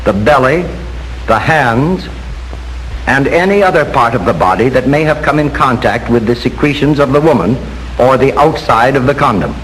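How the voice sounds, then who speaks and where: An American man reads a scripted 1940s instructional film narration in a formal, measured, instructive manner. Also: medium pitch, expressive, a bit bright. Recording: noisy 1940s film soundtrack with hiss.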